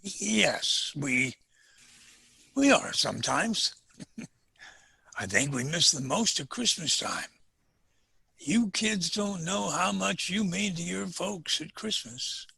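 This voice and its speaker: An actor playing a kindly, folksy old storekeeper in a family radio drama, with a raspy voice and a wistful streak. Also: male voice, high voice, animated, slow pace, pauses often, sharp tone, dry recording, heavily acted. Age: older. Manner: folksy, hearty.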